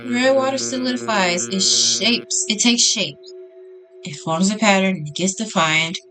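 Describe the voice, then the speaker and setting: Female gaming host, mid-pitched, casual, reading story text aloud with clear music underneath. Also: a little slow, low-quality recording. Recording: music behind the voice.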